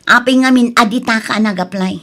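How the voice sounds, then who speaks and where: A woman's voice: a little high, a little fast, fairly bright tone. A woman playing an overburdened, reproachful daughter and elder sister in an audio drama, earnest, with an Ilocano accent. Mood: exasperated, reproachful.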